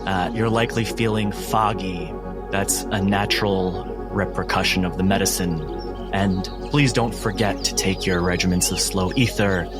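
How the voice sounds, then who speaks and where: Man acting out courteous, deep-voiced asylum doctor. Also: steady tone, over loud music, close to an everyday voice. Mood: smooth, reassuring. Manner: polite, clinical, measured. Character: courteous, soft-spoken male asylum doctor, quietly menacing.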